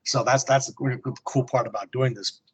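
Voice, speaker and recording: A man's voice: medium pitch, steady tone, moderate pace, slightly rough, dull audio. Middle-aged American man, casual co-host. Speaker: podcast co-host. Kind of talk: casual chat about old comics.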